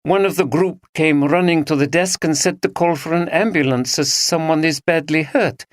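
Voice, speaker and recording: A man voicing a calm, blunt female supervisor with an East European accent. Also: sits low, normal speed, dry recording, clean studio sound, pitched above the performer's own voice, lightly acted. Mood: curt.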